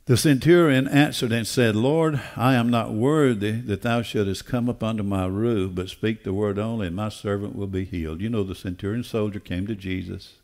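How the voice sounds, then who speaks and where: Older preacher, low-pitched voice. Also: male voice, steady tone, moderate pace, hardly any pauses, a little mellow. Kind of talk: sermon. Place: church auditorium stage. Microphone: headset.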